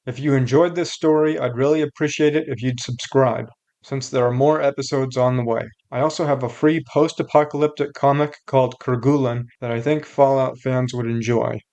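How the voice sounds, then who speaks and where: A man's voice: mid-range voice, fairly even intonation, a little fast, darkish tone. A man, the creator of the series, giving a plain, friendly outro. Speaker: creator of the audio drama. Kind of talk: casual outro.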